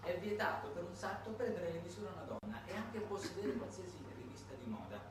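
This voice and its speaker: Man, theatrical narration, dramatic pauses, distant microphone, strong room echo, noticeable noise. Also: high-pitched, expressive. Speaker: stage actor and narrator. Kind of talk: theatrical narration in a solo stage monologue. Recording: echoey noisy hall, distant microphone.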